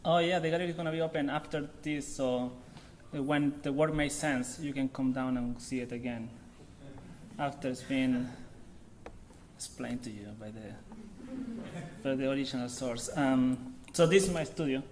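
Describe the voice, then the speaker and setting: A man in his thirties with a Chilean accent speaks at a mid-range pitch, talking loosely and haltingly as he searches for his words. A faint hiss sits under his voice in a lecture room. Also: darkish tone. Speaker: visiting artist. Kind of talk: public artist talk.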